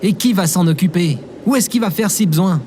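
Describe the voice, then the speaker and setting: A man's voice: high-pitched, a little fast, warm tone, slight background noise, lightly acted. A man playing a reluctant, easily flustered human leader in a comedic audio drama. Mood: exasperated.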